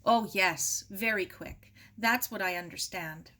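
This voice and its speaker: A woman voicing a big, grieving older father in a storybook, halting and apologetic, his words pained. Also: medium pitch, sharp tone. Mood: sorrowful. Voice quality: rough with pain. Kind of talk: storybook read-aloud.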